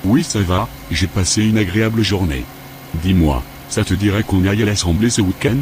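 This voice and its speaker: Man doing a churchgoing husband gone astray, cartoon, low voice, stiff and formal, bit of background noise. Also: a little fast, darkish tone, close to an everyday voice. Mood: agreeable. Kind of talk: scripted cartoon dialogue.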